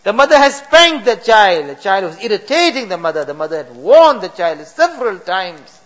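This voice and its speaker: A man's voice: high voice, very animated, moderate pace, fairly bright tone, lo-fi sound. A man leading an emotional supplication in a mosque, pleading and rising to impassioned cries.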